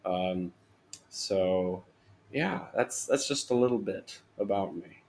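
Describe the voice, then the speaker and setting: A man speaks slowly and carefully, pausing often on fillers and restarts as he tells his story. His voice sits fairly low for a man. A relaxed youth pastor on a casual podcast interview. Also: creaky, slight background noise. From Honduras. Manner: halting, many fillers and restarts, chuckling.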